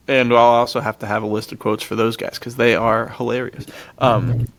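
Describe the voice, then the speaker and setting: A man's voice: medium pitch, creaky, slight background noise. An American man hosting a podcast, chatting casually and informally about films and telling anecdotes, often chuckling as he talks. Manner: chuckles often while talking; informal, slangy, says "dude" a lot. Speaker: podcast host. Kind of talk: casual film discussion and anecdotes.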